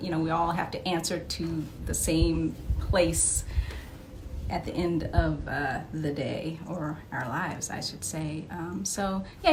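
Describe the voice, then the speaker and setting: A woman chatting casually as a podcast guest, telling personal anecdotes in an expressive way. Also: on the low side, a little hiss or hum, low-quality recording. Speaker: interview guest. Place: live podcast taping.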